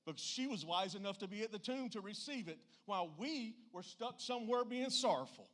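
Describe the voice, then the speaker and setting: A man's voice: sits high, lively intonation, fairly quick, a bit bright. A man with a Southern US accent preaching a church sermon in a folksy, plainspoken and emphatic way.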